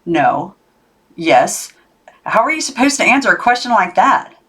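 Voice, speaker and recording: A woman's voice: mid-range voice, very animated, unhurried, fairly bright tone, breathy edge, exaggerated voice. A woman voicing a sullen, blunt twelve-year-old girl in a children's storybook, with terse, unimpressed delivery. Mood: guarded, sour. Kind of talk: children's storybook character reading.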